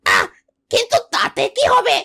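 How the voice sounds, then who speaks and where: Casting: shrill, bright, greedy sidekick creature, whiny and wheedling. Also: female voice, wildly swooping pitch, a little slow, creaky, exaggerated voice. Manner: whining, pleading, wheedling. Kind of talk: cartoon voice acting.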